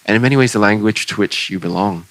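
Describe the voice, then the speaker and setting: A young man, a poet speaking on a festival panel, measured and reflective, into a handheld mic in a large hall. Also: fairly low pitch, fairly bright tone. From Singapore. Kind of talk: panel conversation. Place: large bright public hall indoors. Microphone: handheld microphone.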